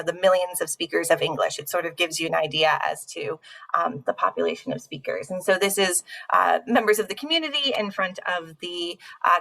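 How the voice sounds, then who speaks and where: Bright-voiced young woman presenting informally. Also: mid-range voice, low-quality recording. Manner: explanatory, conversational, frequent "sort of" asides. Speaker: linguist giving a panel presentation.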